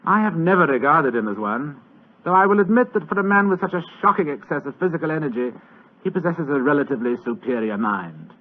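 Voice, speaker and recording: An actor playing a fat, lazy, superior British government official in a 1940s radio drama, with a languid, pompous, condescending manner. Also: male voice, fairly high pitch, animated, fast talker. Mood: pompous, amused. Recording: old muffled 1940s radio recording.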